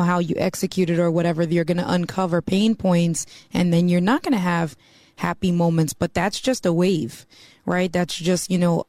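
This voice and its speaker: A woman's voice: on the low side, darkish tone, dry recording, studio quality. A woman from the East Coast of the US, a mortgage banker talking as a podcast guest in a chatty way, full of "you know.". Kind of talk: casual podcast conversation.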